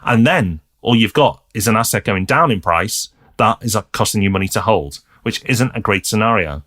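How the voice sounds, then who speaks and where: Lively British male podcast host. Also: on the low side, normal speed. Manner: lively, emphatic explaining. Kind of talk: podcast advice chat.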